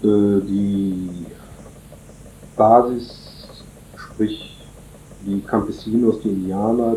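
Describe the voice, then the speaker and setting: A man as a guest in a radio interview, speaking haltingly with many fillers and restarts. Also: on the low side, warm tone, roomy sound, slight background noise, rough recording. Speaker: interview guest from a solidarity group.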